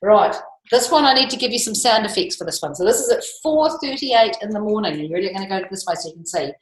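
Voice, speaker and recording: A woman with a New Zealand accent talks children through a picture book as a teacher, playful and animated, often asking them questions. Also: mid-range voice, fast. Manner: often chuckles as she talks. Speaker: school teacher reading picture books to children. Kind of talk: read-aloud storytime, talking children through a picture book. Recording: echoey, roomy home-style recording.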